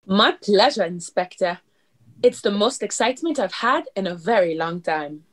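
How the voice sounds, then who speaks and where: A woman playing a poised, clever club performer in a radio play, explaining things with deliberate dramatic delivery. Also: medium pitch, lively intonation, lightly acted. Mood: pleased.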